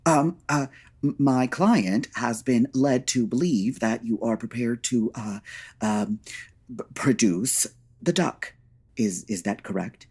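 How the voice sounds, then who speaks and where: A flustered, courteous lawyer from an old radio comedy. His voice is husky and mid-pitched, and he stammers hesitantly through formal phrases. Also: takes it slowly, darkish tone. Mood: nervous. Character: nervous, polite, flustered male lawyer for gangsters.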